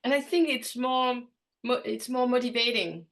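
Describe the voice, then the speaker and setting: A woman hosting a podcast interview in English, which is not her first language, pausing often with fillers and restarts. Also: fairly high pitch, vocal fry, fairly bright tone. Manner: hesitant, many fillers and restarts. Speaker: podcast host.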